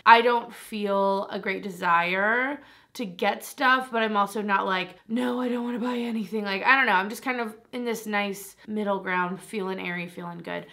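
A young woman with a raspy voice chatting to camera about beauty products in a bubbly, rambling way, laughing often. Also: mid-range voice, pushed and bright. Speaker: beauty vlogger. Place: small decorated room indoors.

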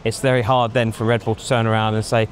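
Middle-aged man giving measured analysis. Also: lowish voice, flat delivery, a little hiss or hum. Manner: measured, thinking aloud with many ums. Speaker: pundit. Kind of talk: casual analysis chat. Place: outdoors in a racing paddock at night. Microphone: lapel. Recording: faint outdoor street ambience.

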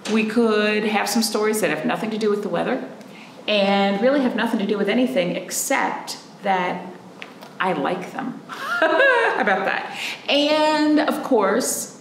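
A woman in her thirties, a children's librarian, reading a picture book aloud in an animated, playful way and asking the children questions. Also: mid-range voice, echoey room, a little hiss or hum, low-quality recording. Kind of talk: storytime read-aloud for children. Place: library room in front of a painted wall.

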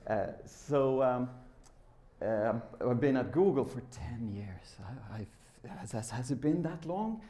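A man's voice: medium pitch, lively intonation, takes it slowly, mellow. A middle-aged man from Sweden, speaking informally and conversationally as he presents at a tech conference. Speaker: conference speaker, software developer. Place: large lecture room with a seated audience.

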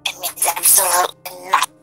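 A woman's voice: on the low side, level tone, a little fast, creaky, music in the background. A woman voicing a menacing, scornful glitchy animatronic villain in an improvised game roleplay.

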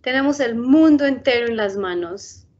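Uma mulher de uns trinta anos, apresentadora de um programa infantil, lendo um livro ilustrado devagar e com calma numa chamada de vídeo. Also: female voice, on the high side, brisk. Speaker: outreach storytime host. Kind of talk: bilingual children's book reading. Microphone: laptop webcam on video call. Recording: muffled video call audio.

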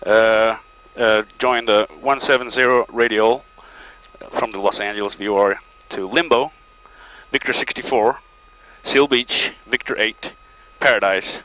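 A man's voice: fairly low pitch, steady tone, poor audio. A middle-aged man narrating and reading back as a pilot, in terse procedural phrases, on a headset in a small plane cockpit. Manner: terse, procedural callouts with pauses. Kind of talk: cockpit commentary and radio readbacks. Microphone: aviation headset. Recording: loud aircraft cabin noise, headset intercom.

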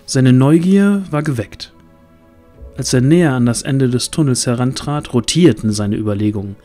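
A man's voice: medium pitch, warm tone. A man narrating a scripted fantasy audio drama in a dramatic storytelling style.

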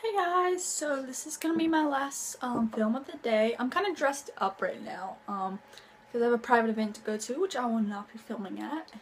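A woman's voice: fairly high pitch, moderate pace, noisy background. A young woman vlogging to a phone held at arm's length in her bedroom, chatty, with many ums and restarts. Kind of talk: casual vlog talk to camera. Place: bedroom at home, indoors.

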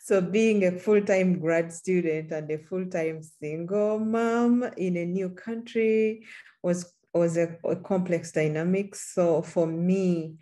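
A middle-aged woman from Uganda speaking on a panel over a video call, earnest and measured with small restarts. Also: fairly low pitch, steady tone, dark tone. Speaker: panelist, support group leader. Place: room at home. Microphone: laptop camera on a video call.

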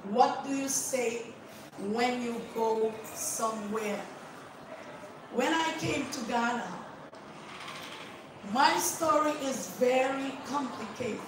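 A high-voiced, slow-paced woman from Suriname with a Surinamese accent, English not her first language, speaking earnestly into a handheld mic. Her voice rings through a very echoey seminar room, picked up from a distance with clear background noise. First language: not English; Dutch learned in school. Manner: earnest, direct, speaks without notes. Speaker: guest speaker, ambassador. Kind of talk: unscripted public speech. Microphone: handheld microphone. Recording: echoey room, far from camera, noisy.

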